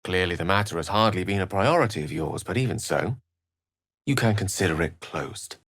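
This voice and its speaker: Eloquent low-voiced wizard, very expressive. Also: male voice, brisk, no room sound, studio quality, exaggerated voice. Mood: thoughtful. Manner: articulate, wordy, theatrical, wry. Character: eloquent, learned adult male human wizard, wry and courteous. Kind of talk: video game character voice lines.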